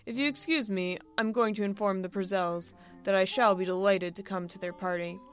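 A woman's voice: medium pitch, fairly even intonation, brisk, faint music behind, natural voice. A woman voicing a weary, sarcastic, furry male alien in a story, with dry, exasperated delivery. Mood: dry amusement.

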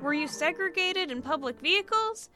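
Lady doing a fussy high voiced alien guy, gushy n overdramatic sympathy, storybook sci-fi, music under it. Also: animated, moderate pace. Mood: probing, wounded. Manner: effusive, solicitous, overdramatic. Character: fussy, anxious, pitying alien friend, male.

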